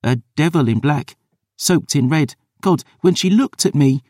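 A man voicing a thin, frightened girl who speaks in a hushed, haunted whisper. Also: on the high side, a little slow, no echo, clean studio sound, pitched above the performer's own voice.

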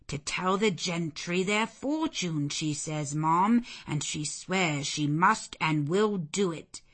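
A woman's voice: on the low side, lively intonation, slow, a bit bright, full character voice. Woman acting a plain-spoken, deferential footman. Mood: flustered, respectful.

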